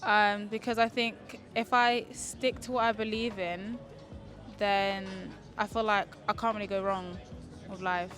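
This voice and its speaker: A woman in her twenties giving casual opinions as an interviewee in hesitant, halting phrases. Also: medium pitch, steady tone, over loud music. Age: 20s. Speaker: street interviewee.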